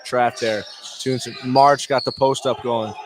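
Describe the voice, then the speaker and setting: A man's voice: medium pitch, steady tone, unhurried. A man doing live basketball play-by-play on radio, calling the action in clipped short phrases. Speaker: play-by-play announcer. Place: indoor high school basketball gym. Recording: noisy gym ambience, bouncing balls. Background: game noise, basketball bounces.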